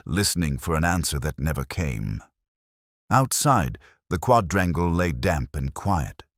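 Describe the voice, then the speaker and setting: A man with an extremely low voice narrates a detective mystery. His delivery is measured and brooding, yet the pitch moves in lively, expressive swings. The phrasing is literary and carefully scripted. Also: dry recording, studio quality.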